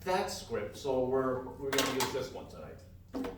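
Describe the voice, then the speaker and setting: A man's voice: mid-range voice, lively intonation, unhurried. A man performing scripted comedy banter to a live audience in the manner of a mock-grand showman announcer. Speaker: comedy radio show performer and co-host. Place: live stage in a hall. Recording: very echoey hall, distant mic, faint noise.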